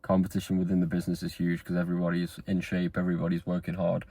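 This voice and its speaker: A young man in his twenties with a very deep, low voice. He talks to camera in an earnest, rambling way, with fillers and restarts. The tone is a motivational monologue. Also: completely flat delivery, rapid-fire, warm tone, no room sound. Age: 20s. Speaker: vlogger. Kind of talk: motivational talking-head monologue.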